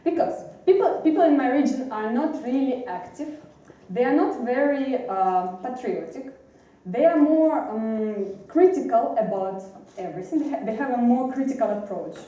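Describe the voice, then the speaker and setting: A woman's voice: a little high, lively intonation, normal speed, warm tone, highs cut off. A young woman from Ukraine with a Ukrainian accent, a native Russian speaker, giving a hesitant slide presentation on a debate panel, with many fillers and restarts. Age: young adult. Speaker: student panelist. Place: university lecture hall. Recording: echoey lecture hall from a distance.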